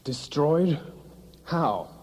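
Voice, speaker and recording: A man playing a grief-stricken, horrified gentleman in a radio drama, speaking in an anguished, halting way. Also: a little high, very animated, breathy edge, exaggerated voice. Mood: appalled. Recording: noisy, long cavernous reverb.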